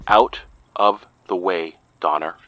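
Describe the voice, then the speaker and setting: A man acting as a grim, tense man in an audio drama, speaking deliberately. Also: sits low, fairly bright tone, dry recording. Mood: tense, restrained. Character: grim, tense man confronting a friend's possession.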